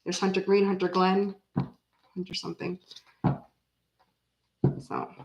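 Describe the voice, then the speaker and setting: A woman in her forties, raised in Florida and bilingual in French, with an American accent. She chats casually with live viewers, rambling and breaking into sudden animated bursts. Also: on the low side, unhurried, gravelly, reverberant, poor audio. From Canada, raised in Florida. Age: 40s. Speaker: tarot reader chatting with live viewers. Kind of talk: casual livestream chat.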